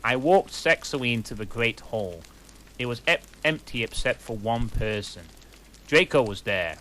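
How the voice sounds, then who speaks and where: A man's voice: mid-range voice, animated, moderate pace, pushed and bright. A man reading a story aloud in a comic, mock-earnest manner, with a fireplace crackling behind him. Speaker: reader.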